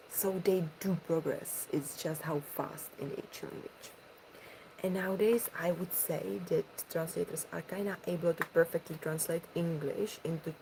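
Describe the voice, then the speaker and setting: A woman with a Czech accent, a native Czech speaker, chatting quietly and hesitantly as an ASMR host, sometimes slipping into whispers. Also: deep voice, a little hiss or hum, rough recording. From Czech Republic. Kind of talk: soft-spoken ASMR chat.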